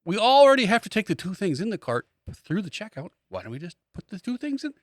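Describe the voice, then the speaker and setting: Guy podcast co-host, kinda high lively voice, wry griping stories, super dry close sound. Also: fast, no echo. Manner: exasperated, acts out dialogue in anecdotes. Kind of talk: casual comic storytelling.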